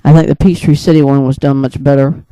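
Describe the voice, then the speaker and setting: A man with a mellow, smooth voice, pitched a little high, talks casually without a script. He rambles through his storytelling, hesitating and restarting as he goes. The sound is close and dry. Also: flat delivery, no room sound, low-quality recording. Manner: rambling, hesitant, halting mid-thought. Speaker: video creator and storyteller. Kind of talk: casual unscripted monologue recounting a dream.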